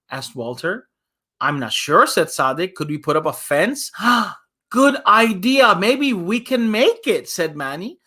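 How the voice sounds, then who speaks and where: A man leads a children's story time with a very animated, varied pitch. He reads aloud and casually explains things in simple words, like an imam talking to kids.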